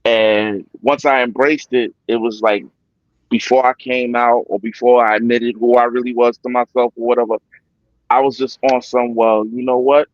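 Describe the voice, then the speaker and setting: A man's voice: mid-range voice, little pitch movement, moderate pace, dry recording, lo-fi sound. A man from New York, a guest in a casual personal conversation, speaking reflectively and often saying "it's like".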